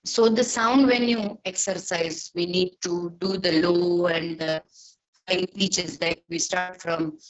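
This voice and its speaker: A woman with an Indian accent who teaches voice acting, lecturing in a halting, deliberate, explanatory manner. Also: on the low side, fairly even intonation, rough recording. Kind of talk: webinar lecture with demonstrations.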